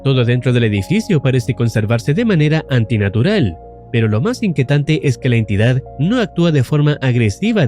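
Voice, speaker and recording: A man's voice: medium pitch, darkish tone. A man narrating a scripted, suspenseful recap of a horror series as an explainer video host. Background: faint music.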